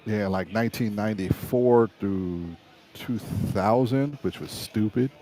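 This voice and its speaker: A middle-aged man with a raspy voice, hosting a casual live stream and rambling in a chatty way with frequent restarts. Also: fairly low pitch, measured pace, warm tone.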